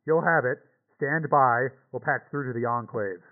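A man's voice: mid-range voice, normal speed. A man voicing a clipped, businesslike headquarters operator through a two-way radio filter. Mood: brisk. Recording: tinny narrow-band radio transmission.

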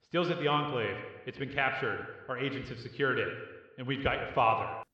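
Man playing an agent over booming echoey loudspeaker. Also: far from the mic. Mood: firm. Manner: firm, measured negotiating. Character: terse, controlled male intelligence agent bluffing under pressure. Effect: amplified public-address echo. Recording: muffled, echoing loudspeaker in large hall.

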